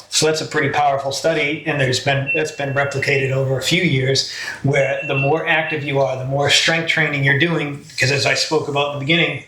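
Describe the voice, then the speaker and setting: A man's voice: medium pitch, bright, forceful tone. A man explaining medical matters conversationally, as an expert guest on a health podcast. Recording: roomy echo, faint background noise.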